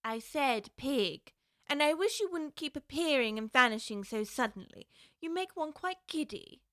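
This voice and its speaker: A prim, earnest young girl, polite and curious as she wonders aloud in a storybook. The voice is high and bright, recorded dry and close with no room around it. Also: lively intonation, moderate pace, no room sound. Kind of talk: full-cast audiobook acting.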